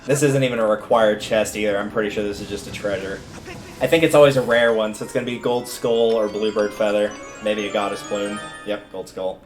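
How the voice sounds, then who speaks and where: Man, lowish voice, casual game streamer commentary, colloquial, thinking aloud, clear music in background. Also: fairly even intonation, fairly quick. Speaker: game livestreamer. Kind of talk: casual live gameplay commentary. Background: video game music.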